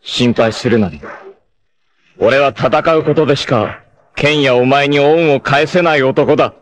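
An actor playing a stern, loyal young martial artist in a 1980s anime, with a smooth, clipped voice that bursts into ferocious battle cries. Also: male voice, medium pitch, a little slow, natural voice. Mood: grave. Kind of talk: 1980s anime dub-free Japanese voice acting.

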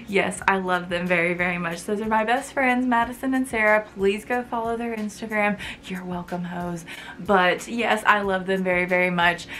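A woman's voice: mid-range voice, pushed and bright. A woman of twenty chatting casually to camera from her college bedroom, frank and full of fillers. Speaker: vlogger.

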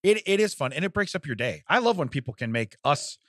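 A man's voice: fairly high pitch, very animated, fast, a bit bright, dry recording, studio quality. Laughing man reading news on a podcast. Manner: rambling, laughs often, reads news aloud. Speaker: podcast co-host. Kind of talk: casual comedic podcast chat. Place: podcast studio. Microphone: studio microphone.

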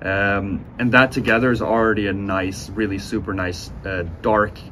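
A man in his twenties giving a casual, unhurried review to camera, speaking with enthusiastic praise. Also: lowish voice, level tone, a bit bright. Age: 20s. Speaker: cigar reviewer, host. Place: outdoors on a sunny porch by windows. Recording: outdoor ambient noise.

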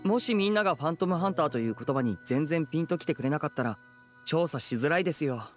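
A man's voice: on the high side, expressive, quick pace, soft background music, close to an everyday voice. A man playing a polite, earnest young man in a video game, reasoning things out in a courteous, thoughtful way. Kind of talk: scripted game dialogue.

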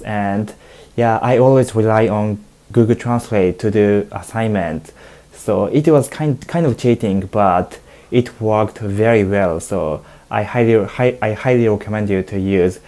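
A man in his twenties with a Japanese accent, a native Japanese speaker giving reaction commentary in careful, measured English. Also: on the low side, warm tone. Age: 20s. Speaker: reaction video host. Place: small plain room indoors.

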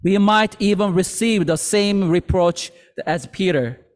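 Slow earnest pastor in a reverberant hall. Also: male voice, sits high. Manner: slow, earnest, with halting restarts. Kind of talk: sermon. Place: church sanctuary.

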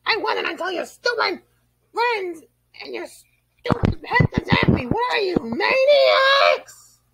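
Manic little cartoon bully creature, super high shrill voice, drags out his taunts theatrically. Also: very animated, takes it slowly, bright, forceful tone, shouting, slight background noise, heavily acted, rough recording. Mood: furious. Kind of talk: cartoon villain voice reel.

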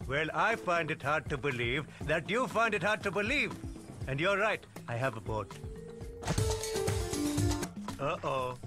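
A bossy cartoon lemur monarch. The voice sits high and swings theatrically from line to line, full of grand self-regard, with game music underneath. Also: male voice, sing-song, unhurried, pauses often. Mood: bossy, self-important. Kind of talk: animated video game acting.

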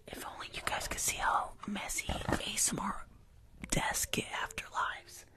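Whispering young woman doing ASMR. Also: moderate pace. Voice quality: breathy whisper. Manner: soft, repeats phrases. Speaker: ASMR live streamer. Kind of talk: ASMR, casual chat with viewers. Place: small indoor room. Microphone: desk microphone at close range.

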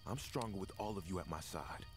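A man voicing a strong, sincere young warrior in an animated fairy tale. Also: low-pitched, fairly quick, close to an everyday voice. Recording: cartoon playback with music behind.

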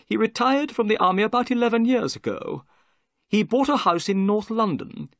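A man voicing a nervous, excitable little bald man, fretful and eager, dramatically telling his tale in an audiobook story. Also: normal speed, creaky, no room sound, clean studio sound, full character voice. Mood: earnest recounting. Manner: exclamatory, high-strung, dramatic storytelling.

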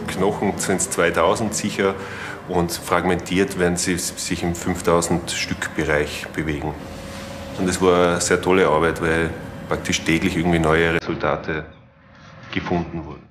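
A man giving unscripted interview remarks as a scientist, halting and rephrasing as he goes. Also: deep voice, level tone. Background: prominent background music.

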